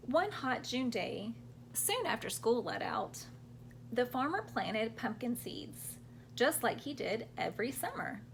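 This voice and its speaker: A woman's voice: on the high side, big pitch swings, measured pace, vocal fry, a little hiss or hum. A woman hosting a children's storytime, reading picture books aloud expressively and explaining things simply for young listeners.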